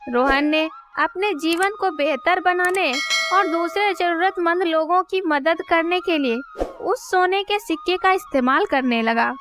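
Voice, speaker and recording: A woman's voice: very high-pitched, expressive, unhurried. A woman narrating a scripted children's story as a storyteller. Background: music.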